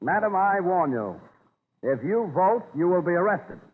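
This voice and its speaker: Flustered official, swooping pitch, old radio. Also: male voice, very animated, a little slow, narrow, tinny audio. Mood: stern warning. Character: flustered, officious male registry official of the 1870s. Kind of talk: 1930s radio drama acting. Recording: phone-thin 1930s radio recording.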